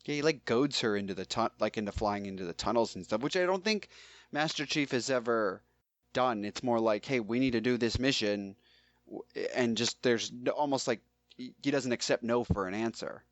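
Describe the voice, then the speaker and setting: A man co-hosting a podcast, chatting casually in a halting, conversational way. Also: medium pitch, dry recording, highs cut off. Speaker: podcast co-host. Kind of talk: casual chat.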